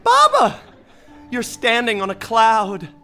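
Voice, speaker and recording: A man playing a gentle, childlike, euphoric dying saint in a comic audio play, wondering and tender. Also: very high voice, wildly swooping pitch, slow, heavily acted.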